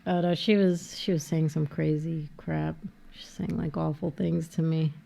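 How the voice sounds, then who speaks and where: A woman's voice: low voice, normal speed, gravelly. A young woman chatting casually over a film, telling stories with energy, laughing often and saying "like" a lot. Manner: animated storyteller, laughs often, says "like" a lot. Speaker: co-host. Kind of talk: casual film commentary chat.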